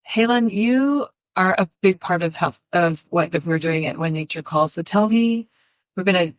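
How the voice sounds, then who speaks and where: A woman's voice: fairly low pitch, moderate pace, no echo. A woman hosting an interview, talking enthusiastically and often restarting her sentences mid-thought. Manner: enthusiastic, rambling, with frequent restarts. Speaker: host. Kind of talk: casual interview chat.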